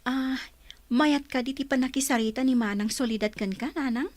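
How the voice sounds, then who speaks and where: A woman's voice: high voice, normal speed, lightly acted. A Filipino woman playing an inquisitive village daughter talking with a parent in a radio drama. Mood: questioning. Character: inquisitive grown child of an older village mother.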